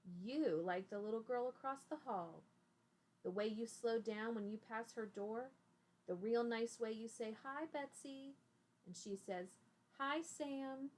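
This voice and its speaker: A woman's voice: on the high side, unhurried, rough recording. A woman voicing a bossy, knowing blind man in a read-aloud storybook, snappish and challenging. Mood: knowing, teasing.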